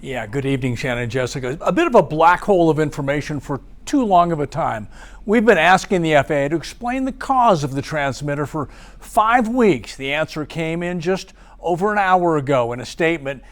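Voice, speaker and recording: An American man in his sixties with a fairly high voice for a man. He speaks as a television investigative reporter, in broadcast news style from a studio. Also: animated, a little fast. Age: 60s.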